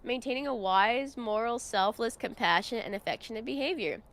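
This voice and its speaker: A woman's voice: on the high side, noisy background. A woman streamer with a sniffly cold, chatting playfully and giggling with friends on a voice call. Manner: giggly, playful, drawn-out silly emphasis. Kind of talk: casual chat while gaming.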